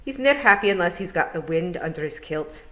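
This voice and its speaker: A woman's voice: on the low side, expressive, brisk, fairly bright tone. A woman voicing a cheerful, deferential young male pixie with a Scottish accent in a storybook reading. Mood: cheerful, matter-of-fact. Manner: dialect-heavy, addresses listener respectfully as mistress.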